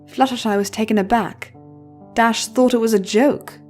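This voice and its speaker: A woman's voice: on the high side, fairly even intonation, moderate pace, a little mellow, soft background music. A woman narrating a story in a dramatic, scripted reading style.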